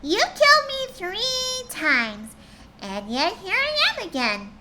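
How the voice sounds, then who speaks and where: A woman's voice: extremely high pitch, very animated, slow, very noisy, pitched above the performer's own voice, heavily acted. A woman voicing a creepy, giggling evil twin of a female pony, taunting in a sing-song way.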